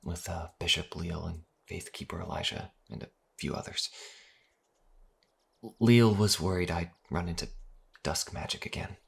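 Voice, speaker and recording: A man playing a young priest in a fantasy audio drama, mild and affectionate, with a halting, soft-spoken delivery. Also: low-pitched, fairly even intonation, gravelly, slightly rough, heavily acted. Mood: pensive.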